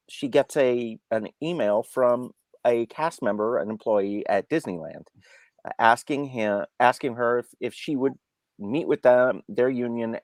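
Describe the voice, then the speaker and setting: A man reviewing a film in unscripted discussion, halting and repeating himself as he searches for words. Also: mid-range voice, no echo.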